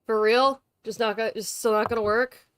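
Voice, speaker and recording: A woman's voice: fairly high pitch, fairly even intonation, a little fast, a little hiss or hum. A woman livestreamer chatting casually to viewers in a playful, chatty way. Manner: playful, chatty, talks to pets. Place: bedroom at home.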